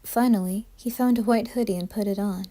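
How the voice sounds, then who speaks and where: A woman's voice: mid-range voice, steady tone, a little fast, dark tone. A woman reading scripted horror narration as a storyteller.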